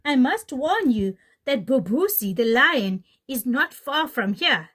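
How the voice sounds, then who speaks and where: A woman's voice: high-pitched, big pitch swings, slow, fairly bright tone, heavily acted. A woman voicing a friendly, concerned zebra in a children's story, breathless in manner. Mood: friendly warning.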